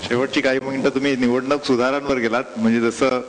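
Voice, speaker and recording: A man's voice: mid-range voice, level tone, fast. Male moderator over hissy noise. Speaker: panel moderator. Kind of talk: moderating a discussion. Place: live public panel event. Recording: live event with hiss and noise.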